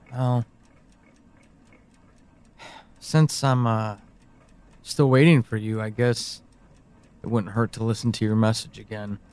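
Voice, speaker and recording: Actor as a slow-talking, hesitant, troubled man. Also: fairly low pitch, steady tone, darkish tone, smooth, lightly acted. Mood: wistful, uneasy. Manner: hesitant, halting, sighing. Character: anxious, conflicted man leaving voice messages to a missing loved one. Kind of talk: audio drama monologue.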